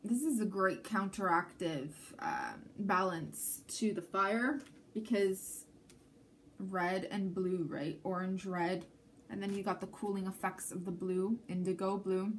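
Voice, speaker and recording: A woman's voice: mid-range voice, animated, measured pace, slight background noise. A woman from Canada whose first language is Armenian, giving a chatty tarot reading to camera and often checking in with the viewer. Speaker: tarot reader.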